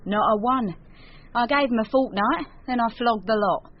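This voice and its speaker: An actress playing a blunt, flirty, unsentimental London wife in a radio drama, offhand and colloquial. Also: female voice, a little high, moderate pace, slight background noise, natural voice. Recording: muffled radio recording.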